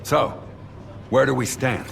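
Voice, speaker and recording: A man voicing a steady veteran starship captain in a game cutscene, giving orders in a measured, clipped way. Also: mid-range voice, vocal fry, background noise. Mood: grave command. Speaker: ship captain. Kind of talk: video game cutscene acting.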